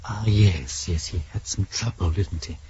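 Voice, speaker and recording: A man's voice: low voice, moderate pace, warm tone, husky. An actor playing a nervous, evasive man in a 1970s radio drama, hesitant and stammering under questioning. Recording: muffled, noisy vintage radio broadcast.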